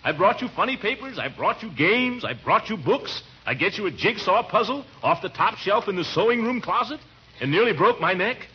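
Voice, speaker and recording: An actor playing a harried, grumbling middle-aged American uncle in theatrical, exasperated 1940s radio comedy style. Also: male voice, sits high, animated, normal speed, slightly rough, exaggerated voice. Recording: dull, noisy old radio recording.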